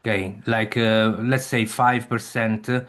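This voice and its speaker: A man leading an online meeting, speaking haltingly with frequent restarts and non-native phrasing. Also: lowish voice, level tone, a bit bright. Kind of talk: casual discussion.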